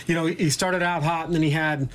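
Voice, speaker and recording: A middle-aged man, a baseball manager, answering reporters' questions after a game in a measured way, with frequent fillers and restarts. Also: a little high, fairly even intonation. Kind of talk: postgame press conference answers. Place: indoor press conference room.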